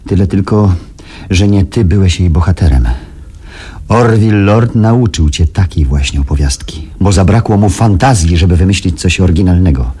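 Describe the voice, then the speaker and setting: An actor in a Polish radio play playing a bitter, shaken but resolved man who also narrates, in a grave, deliberate manner. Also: low-pitched, mellow, breathy edge. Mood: cold, resolved.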